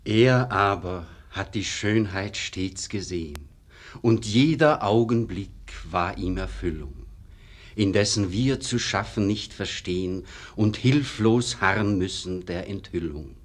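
An actor playing a brooding, self-doubting disciple in a 1950s radio verse drama, speaking grave, formal stage German. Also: male voice, lowish voice, slow. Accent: standard stage German.

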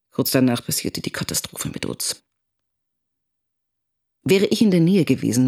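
A middle-aged woman muses in a creaky voice with very lively intonation. She is a troubled, self-doubting mother in a radio drama, husky and questioning. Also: low voice, full character voice.

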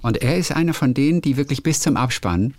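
A man's voice: mid-range voice, lively intonation, a little fast, darkish tone, dry recording, studio quality. A male radio host in a casual phone chat with a friend, telling little anecdotes in a playful, chatty way. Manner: chatty storyteller, playful, hums melodies.

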